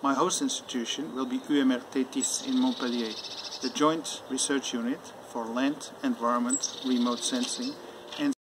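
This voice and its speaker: A man in his fifties with a Dutch accent introducing his research project in a steady, prepared way, recorded outdoors. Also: mid-range voice, moderate pace. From Netherlands. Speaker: visiting researcher. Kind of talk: prepared self-presentation. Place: outdoors in front of an ivy-covered wall. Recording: outdoor noise with birds and insects.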